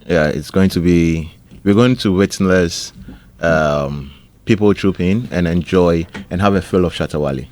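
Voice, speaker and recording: A man, co-hosting a radio show in casual banter, hesitant with many pauses. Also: low-pitched, a little mellow. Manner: hesitant, many ums, rises sharply when teased. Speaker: radio co-host.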